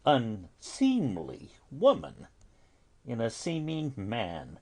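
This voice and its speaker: Shakespeare audio drama: exasperated holy friar played by a man, breathy husky voice, drawn-out deliberate phrasing, highly varied intonation. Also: wildly swooping pitch, heavily acted. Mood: stern rebuke. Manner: slow, deliberate, dramatic, dropping into hushed breaths. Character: stern, exasperated male friar counselling a youth. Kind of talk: classical stage tragedy in audio.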